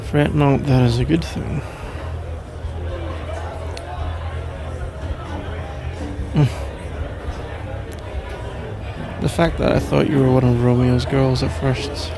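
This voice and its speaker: A man with a British accent playing a suave, suspicious and powerful mafia crime lord in an intimate ASMR roleplay, measured, self-assured and teasingly condescending. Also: medium pitch, fairly even intonation, creaky, over music, lightly acted. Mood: amused. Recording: noisy bar-like crowd ambience behind.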